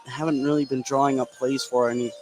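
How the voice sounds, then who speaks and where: A man's voice: mid-range voice, flat delivery, brisk, darkish tone, faint music behind, rough recording. A man giving conversational live analysis as a basketball color commentator. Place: indoor gym at a basketball game.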